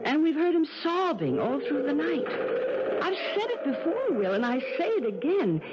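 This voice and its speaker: A woman's voice: sits high, sing-song, normal speed. An actress playing a fretful, frightened wife in a 1970s radio drama, insisting on her suspicions. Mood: suspicious. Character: anxious, curious young wife, easily frightened. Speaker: Elvira, a passenger. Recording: noisy, dull old radio recording.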